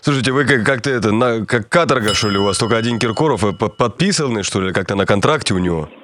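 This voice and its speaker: A man's voice: lowish voice, animated, fast, a bit bright, slight background noise. A man running a prank phone call in character, hesitant and full of fillers and restarts. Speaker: radio prank caller.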